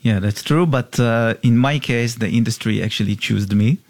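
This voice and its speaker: A middle-aged man from Israel, a diamond company co-founder, answering interview questions in halting, simple phrasing with fillers. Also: mid-range voice, darkish tone, no room sound.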